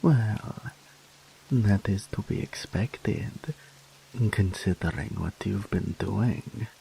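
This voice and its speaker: A man playing a doting incubus demon, gravelly. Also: sits low, slight background noise, exaggerated voice, rough recording. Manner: soft, slow, whispery and pampering. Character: doting, possessive male incubus demon lover. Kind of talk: ASMR roleplay audio.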